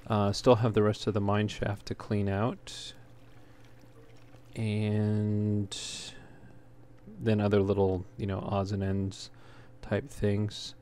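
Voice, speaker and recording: A man giving casual gameplay commentary in a halting way, as if thinking aloud while he plays. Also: fairly low pitch, flat delivery, dark tone. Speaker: let's player, host. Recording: clear background noise.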